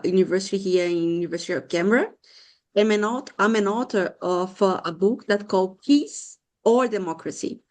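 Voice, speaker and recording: Brazilian-accented woman, mid-pitch, a lecturer introducing herself on a video call, hesitant and searching for words. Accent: Brazilian Portuguese. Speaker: lecturer and former peacekeeper.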